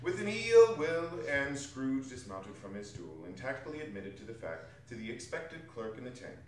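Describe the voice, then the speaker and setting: A man narrating a classic story in an expressive, theatrical dramatic reading. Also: mid-range voice, normal speed. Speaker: stage narrator. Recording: very echoey hall, distant mic, faint noise.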